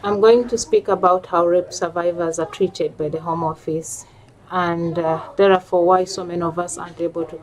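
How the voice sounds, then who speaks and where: A woman's voice: lowish voice, steady tone, normal speed, poor audio. A woman with a Ugandan accent giving personal testimony as a panel speaker. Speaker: panel speaker and campaign group member. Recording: clear background noise, ambient sound.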